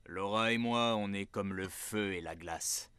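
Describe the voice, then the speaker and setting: An actor playing a hard-boiled tough detective, narrating deadpan in film-noir style for a French cartoon. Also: male voice, on the low side, measured pace, background noise, lightly acted. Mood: brooding, dry. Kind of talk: French cartoon dub acting. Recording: loud music underneath.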